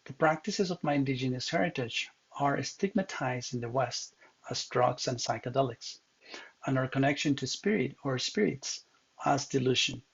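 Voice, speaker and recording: A man reads a prepared presentation in a measured, earnest voice that slips on a word now and then. His voice is gravelly and a little husky. The recording is muffled and lo-fi. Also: medium pitch. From Ecuador. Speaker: counseling student. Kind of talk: read presentation narration.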